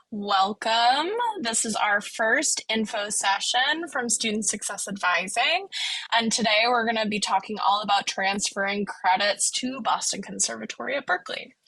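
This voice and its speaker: A woman's voice: on the high side, bright, forceful tone, no room sound, low-quality recording. A woman working as a student success advisor, hosting an online info session in an upbeat, chatty way with frequent ums.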